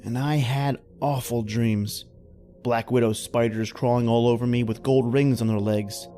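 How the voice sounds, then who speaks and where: An actor playing a middle-aged former oil-rig worker, telling an old-time radio horror tale in folksy, slangy, hesitant speech. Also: male voice, mid-range voice, steady tone, a little slow, mellow, faint music behind, close to an everyday voice. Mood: haunted storytelling.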